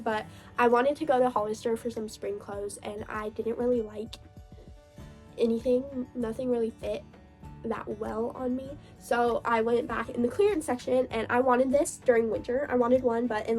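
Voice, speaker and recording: A young woman chatting casually about books, her voice hoarse, gravelly and stuffed up from a cold, gushing and laughing often. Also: fairly high pitch, steady tone, moderate pace, darkish tone, faint music behind. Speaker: book vlogger. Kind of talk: casual reading wrap-up chat.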